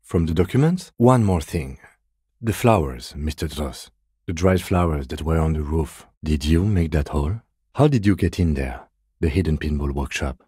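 Calm middle-aged police detective from a video game, super deep smooth voice, measured and restrained. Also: male voice, dry recording, clean studio sound, full character voice. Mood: probing, serious. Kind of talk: video game voice acting, understated dialogue.

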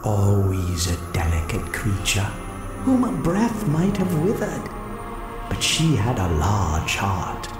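An actor playing a solemn ghostly spirit in an audio drama, questioning in a deliberate, probing way. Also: male voice, on the low side, huge pitch swings, heavily acted. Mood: solemn, knowing. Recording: echoey voice over music.

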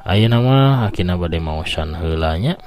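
An older man with a Sundanese accent, a folk tale storyteller and host speaking in an expressive, folksy, conversational way. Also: low voice, moderate pace, slight background noise. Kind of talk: expressive storytelling and reading out listener messages.

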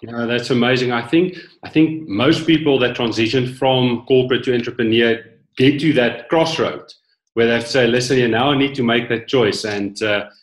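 A man's voice: mid-range voice, reverberant. A man, hosting an interview over a video call, praising the guest and asking questions with many fillers. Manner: warm praise, halting with fillers. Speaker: host.

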